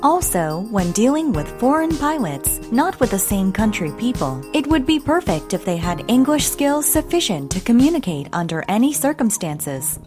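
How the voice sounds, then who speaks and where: Woman doing scripted explainer voiceover, kinda high pitch, very animated intonation, teachy w rhetorical questions. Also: normal speed, hardly any pauses. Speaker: narrator. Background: background music.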